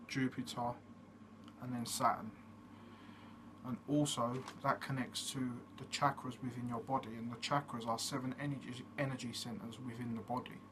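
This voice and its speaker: A man hosting his own channel, giving a casual explanatory monologue in a steady way, with frequent fillers. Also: medium pitch. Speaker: channel host. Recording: noticeable background noise.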